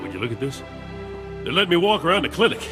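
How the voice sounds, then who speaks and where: An actor playing a sickly, chatty, wry patient who helps a Jedi in a video game. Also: male voice, a little high, fast, over music. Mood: wry, cheerful. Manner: wry, animated, joking. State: frequent coughing fits. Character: sickly, wry, chatty male patient, Jedi's eager helper.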